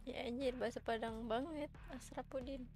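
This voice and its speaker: A young woman from Tangerang talks in a Betawi-tinged Jakarta accent, blunt and teasing. Her voice sits high. A little music plays faintly behind her. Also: steady tone, normal speed, a little mellow. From Tangerang, Indonesia. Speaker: streamer. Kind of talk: casual gaming chat. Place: home streaming setup.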